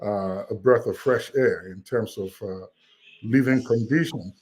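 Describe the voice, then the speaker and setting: A man from Nigeria with a Nigerian accent, calling into a discussion show and making his case in an earnest, measured way, with frequent fillers. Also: fairly low pitch, darkish tone. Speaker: call-in guest. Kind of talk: casual discussion.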